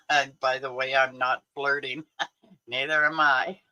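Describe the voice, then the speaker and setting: Slow-talking bright man in his sixties. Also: mid-range voice, steady tone, poor audio. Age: 60s. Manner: chatty, laughs often, answers viewers. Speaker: livestream host. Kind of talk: casual livestream chat.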